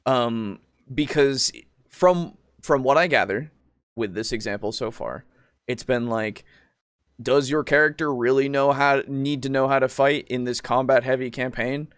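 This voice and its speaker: A man's voice: medium pitch, measured pace, a bit bright, clear, resonant tone, dry recording. Man, podcast co-host, mid-range voice, casual, rambling explanations, occasional swearing, close dry recording. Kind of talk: casual discussion of tabletop roleplaying.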